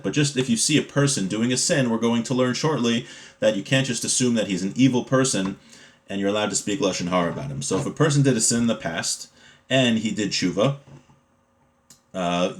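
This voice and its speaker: A man with a fairly low voice gives an informal religious lesson. He talks conversationally as a teacher would, explaining the text in plain terms and using everyday examples. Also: fairly quick. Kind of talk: informal Torah class, reading a text and explaining it.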